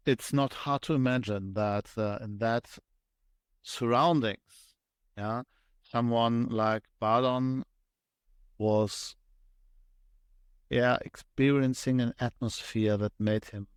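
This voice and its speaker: A man with a German accent, a podcast guest explaining things step by step with frequent thoughtful pauses. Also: fairly low pitch, no room sound. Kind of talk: conversational interview explanation.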